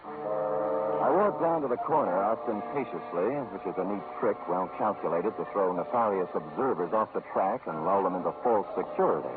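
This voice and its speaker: Man acting a hard-boiled private eye, sardonic, cocky, lowish voice, lively intonation, 1940s radio noir, music behind, tinny recording. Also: close to an everyday voice. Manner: sardonic, wry, mock-formal.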